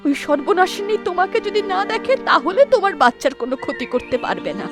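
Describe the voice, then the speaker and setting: A woman's voice: very high-pitched, animated, fast, music in the background. An actress voicing an older village mother-in-law who grievingly tells of a cursed idol and warns her daughter-in-law. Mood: grave. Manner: storytelling, grieving, warning.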